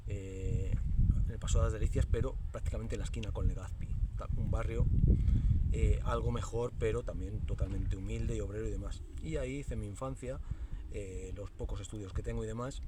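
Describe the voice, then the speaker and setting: A man's voice: lowish voice, far from the mic, heavy background noise. Hesitant Madrid man, fifties. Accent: Madrid Spanish. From Spain. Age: 50s. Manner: hesitant, many fillers and restarts. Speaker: spiritual teacher and video host. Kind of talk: casual reflective monologue.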